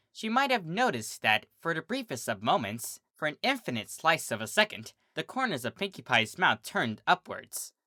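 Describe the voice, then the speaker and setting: Low, lively woman storyteller. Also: dry recording, clean studio sound. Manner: expressive, dramatic read-aloud. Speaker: fanfiction reader. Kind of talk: storybook narration.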